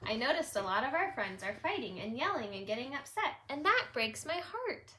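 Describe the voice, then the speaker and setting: A woman's voice: sits high, sing-song, moderate pace, bright, forceful tone, full character voice. A woman voicing a caring, patient hare schoolteacher in a storybook, encouraging and instructing her class. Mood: caring, earnest.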